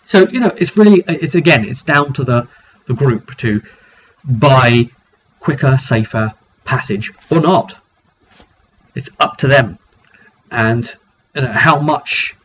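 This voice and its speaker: A dull, lo-fi recording with clear noise carries a man of middling pitch. He speaks as a game master recapping his campaign informally, leaning on key phrases and pausing for drama. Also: a bit bright. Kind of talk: informal video recap of a roleplaying session.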